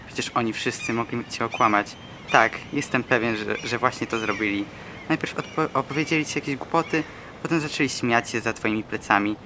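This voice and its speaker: A man's voice: mid-range voice, fairly quick, noisy background, lightly acted. A young man acting agitated, taunting Anger in an amateur radio play. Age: young adult. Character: Anger, an agitated, taunting, doubt-sowing island dweller.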